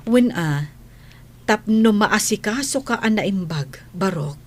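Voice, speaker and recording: An actress playing a gentle, concerned guardian of a youth in a radio drama, with an Ilocano accent. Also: female voice, medium pitch, lively intonation, takes it slowly. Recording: noticeable background noise.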